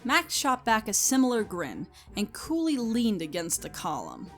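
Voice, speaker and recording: A woman's voice: a little high, huge pitch swings, moderate pace, fairly bright tone. A woman reading scripted story narration as the narrator. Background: music.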